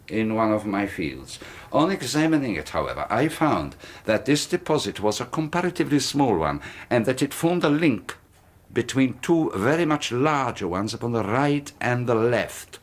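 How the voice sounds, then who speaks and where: A secretive, menacing middle-aged colonel in a radio drama. His speech is smooth and persuasive, with a quiet threat under the courtesy. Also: mid-range voice. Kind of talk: 1980s radio drama acting.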